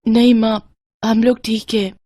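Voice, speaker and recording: A woman's voice: on the high side, level tone, measured pace, warm tone, no room sound, clean studio sound, voice pushed up. A woman voicing a poor, innocent little girl in a children's story, with a childlike, pleading and polite manner. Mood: meek.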